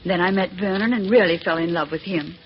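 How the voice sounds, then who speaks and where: A woman's voice: fairly low pitch, a little fast, natural voice. A woman playing an anxious, devoted wife in an old radio drama, genteel, earnest and emotional. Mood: pleading, devoted. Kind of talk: 1940s radio acting. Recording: muffled, noisy old radio recording.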